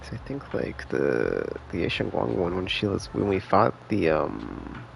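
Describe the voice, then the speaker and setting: A man's voice: low-pitched, very animated, a little slow, vocal fry. A man streaming a game live, chatting casually in a rambling, enthusiastic, slangy way. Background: game music.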